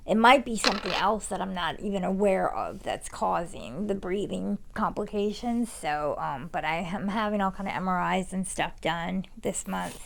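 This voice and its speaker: Stuffed-up lady doing a nail tutorial, gravelly fry, breathing you can hear, rambly. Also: mid-range voice, a little hiss or hum. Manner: rambling, halting restarts. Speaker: nail artist. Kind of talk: casual tutorial vlog.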